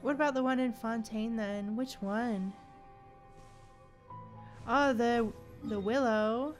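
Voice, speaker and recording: A woman's voice: a little high, slow, soft background music. A woman chatting casually on a gaming livestream, thinking aloud in an informal, musing way. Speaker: livestream host.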